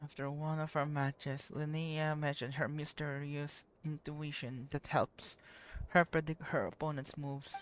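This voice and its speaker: An actor playing a calm, gentle, thoughtful youthful companion in an English anime-style game, speaking in a measured, considerate, articulate way. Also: medium pitch, clean tone, natural voice, poor audio.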